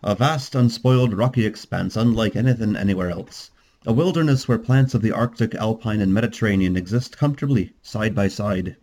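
A man's voice: on the low side, brisk, darkish tone. A man voicing a talkative, proud Irish tour guide in a storybook, with grand, expansive patter.

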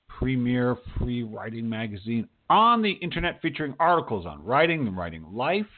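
An American man hosts a radio show with a lively, swinging delivery. He talks informally, dropping in emphatic asides and echoing his own phrases. The sound is narrow and tinny, like a phone line. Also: medium pitch. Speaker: radio show host and writing teacher. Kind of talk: chatty show introduction and interview.

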